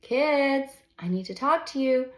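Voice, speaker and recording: Woman acting a loud, urgent storybook mom, echoey. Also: sits high, animated, unhurried, voice pushed up. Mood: urgent, calling. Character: urgent storybook mom calling out to her kids. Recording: roomy echo of an untreated room.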